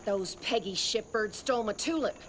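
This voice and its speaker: A woman's voice: medium pitch, moderate pace, a little hiss or hum, natural voice. An actress playing a brassy, blunt middle-aged small-town businesswoman in a video game, salty and familiar. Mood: breezy, brassy. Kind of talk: video game cutscene dialogue.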